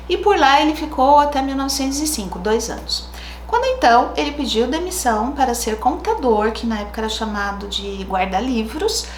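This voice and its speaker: Woman, Brazilian Portuguese accent, slightly high voice, casual true crime host, echoey room. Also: a little hiss or hum. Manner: chatty, thinks aloud with asides.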